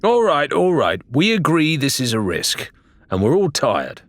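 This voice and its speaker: A man playing a firm, practical female pirate leader in an audiobook, speaking in a brisk, commanding way. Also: a little high, huge pitch swings, fairly bright tone, dry recording, pitched above the performer's own voice, full character voice. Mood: placating.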